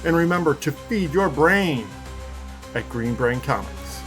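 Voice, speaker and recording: A man's voice: a little high, lively intonation, a little slow. A man reading a scripted promotional advertisement as a voiceover announcer. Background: music.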